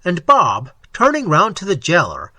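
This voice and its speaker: A man's voice: high-pitched, wildly swooping pitch, a little slow, bright, forceful tone, no echo, exaggerated voice. A man voicing a jovial, coarse, talkative policeman telling tales in slang for an audiobook. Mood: relishing tale. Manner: slangy, rambling, relishing his own tale. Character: jovial cockney policeman, coarse, talkative, fond of grisly anecdotes. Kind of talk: audiobook character voice.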